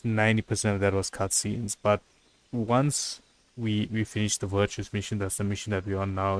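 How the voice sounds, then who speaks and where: A man's voice: fairly low pitch, poor audio. A man giving casual commentary as he streams a game.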